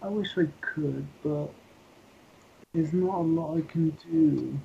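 Guy doing a soothing boyfriend roleplay, slow talking, muffled lo-fi recording. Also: fairly high pitch, vocal fry, exaggerated voice. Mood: consoling. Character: gentle, consoling boyfriend. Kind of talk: ASMR couple roleplay. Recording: dull playback with faint noise.

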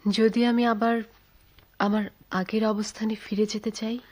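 An actress playing a devoted, questioning lover in a radio drama, earnest and emotive with dramatic pauses. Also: female voice, fairly high pitch, little pitch movement, darkish tone. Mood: earnest, troubled. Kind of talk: Bengali radio drama acting.

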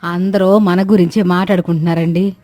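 A woman's voice: lowish voice, fairly even intonation, fairly quick, mellow, no echo, soft background music, close to an everyday voice. A woman voicing a bewildered, fretful village mother-in-law who talks in a rustic, colloquial way. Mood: bewildered, complaining. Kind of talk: animated moral-story voice acting.

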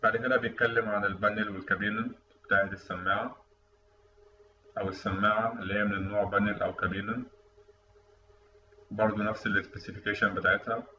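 Fast-talking Egyptian man, echoey lecture audio. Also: fairly low pitch, little pitch movement, pushed and bright. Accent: Egyptian Arabic. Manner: explanatory, conversational, quick. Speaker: instructor. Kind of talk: screen-recorded technical lecture. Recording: distant, dull, echoey room mic with faint noise.

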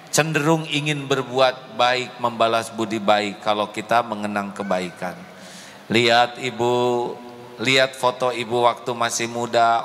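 Male preacher, middle-aged, slow and emotive, handheld mic, big reverberant mosque hall. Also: medium pitch, a bit bright, a little hiss or hum. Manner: slow, deliberate, emotive, addresses the congregation. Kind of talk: mosque sermon. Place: large mosque hall, indoors. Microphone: handheld microphone. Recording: echoey hall with faint ambience.

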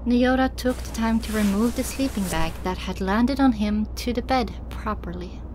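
A woman reading scripted first-person fiction as an audiobook narrator, in an expressive, dramatic way. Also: on the high side, moderate pace, slight background noise.

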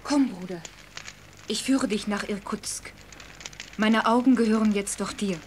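A woman's voice: mid-range voice, measured pace, natural voice. An actress playing a devoted, tender young woman, speaking with emotion in a radio play. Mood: tender distress. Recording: faint background noise.

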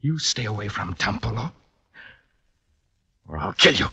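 Tense, cornered young athlete, sharp voice. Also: male voice, fairly low pitch, big pitch swings, vocal fry, husky, full character voice. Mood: angry, threatening. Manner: tense, halting, stammering. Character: desperate, cornered young fencing champion, hot-tempered. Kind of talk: 1950s radio drama acting.

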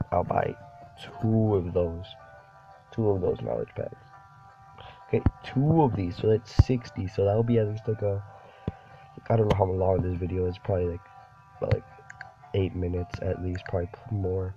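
A young man with a cold, rambling casually over gameplay as a gaming YouTuber and saying "dude" a lot. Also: on the low side. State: sick, voice deeper than usual. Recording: dull lo-fi recording with game music behind. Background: game music.

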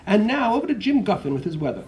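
A man's voice: high-pitched, sing-song, darkish tone, a little hiss or hum, voice pushed up. A man voicing a formal TV newscaster reading an odd story, in a read-aloud storybook. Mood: newsy. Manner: measured news-reading delivery.

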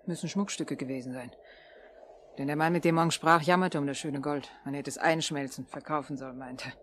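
A woman's voice: low-pitched, fairly even intonation, a little fast, slight background noise, natural voice. An actress playing a frightened, secretive young woman informant in a 1950s German radio play, anxious and guarded.

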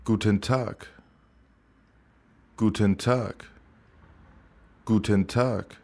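Very slow, low-voiced male language teacher. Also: fairly even intonation, a little mellow. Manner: says each phrase three times, slow and clear. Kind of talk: slow repeated vocabulary drill.

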